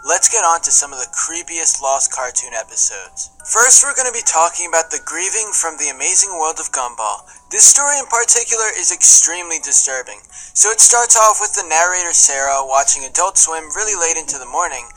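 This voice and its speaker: A man's voice: a little high, lively intonation, fairly quick, few pauses, bright, forceful tone, rough recording. A man reading scripted narration for an online video, with music behind him. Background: background music.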